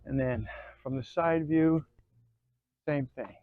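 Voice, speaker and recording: An American man giving a casual, folksy how-to, often checking in with a quick okay or all right. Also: on the high side, dry recording, phone-quality sound. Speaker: host of an off-road vehicle DIY channel. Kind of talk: casual how-to chat to camera.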